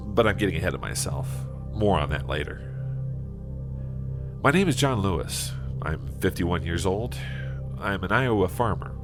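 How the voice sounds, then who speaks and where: A man playing a plain-spoken, troubled farmer of fifty-one, telling his story in an audio drama with folksy, hesitant earnestness. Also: low-pitched. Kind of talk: first-person audio drama narration. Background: music.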